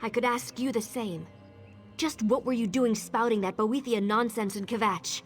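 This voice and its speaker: A woman's voice: on the high side, measured pace, soft background music, lightly acted. A woman playing a bitter, jaded younger sister who left a cult, guarded and sarcastic, in video game dialogue. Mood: wary, sardonic.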